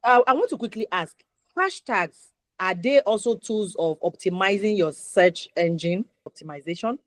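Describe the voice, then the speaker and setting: A woman's voice: mid-range voice, lively intonation, moderate pace, no echo, studio quality. A woman hosting a conversational interview, asking questions and recapping the answers. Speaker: interviewer, host.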